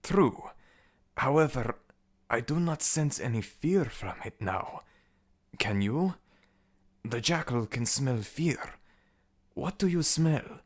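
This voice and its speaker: Guy doing jittery underling of a death god, higher voice, servile and halting, asmr roleplay. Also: lively intonation. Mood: nervous, deferential. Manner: halting, servile, reporting anxiously. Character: nervous, deferential underworld servant to the jackal god. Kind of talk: ASMR audio roleplay acting.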